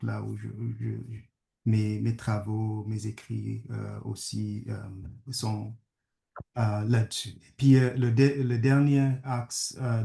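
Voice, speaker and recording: Halting, gravelly man, French. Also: fairly low pitch, warm tone. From Kenya. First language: English. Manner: halting, many fillers and restarts. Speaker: guest speaker, conflict studies professor. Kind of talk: hesitant webinar presentation. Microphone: video call. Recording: echoey room.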